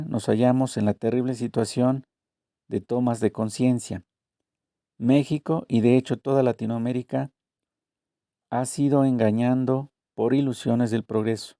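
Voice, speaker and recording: A man narrates a translated interview, taking both the interviewer's and the author's parts. His voice is very dark and mellow, and his delivery is measured and deliberate. The sound is dry and close, with no room echo. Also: medium pitch.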